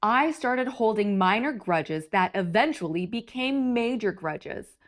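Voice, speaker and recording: A woman reading a picture book aloud for children with expressive, theatrical emphasis. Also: medium pitch, unhurried. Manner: animated, theatrical emphasis for young listeners.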